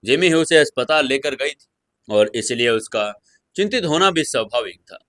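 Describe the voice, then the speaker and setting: A man narrates a serialized romantic drama in a dramatized storytelling style. His voice sits at a middle pitch, and the sound is very dry, with little room in it. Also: lively intonation, normal speed, a bit bright, no echo.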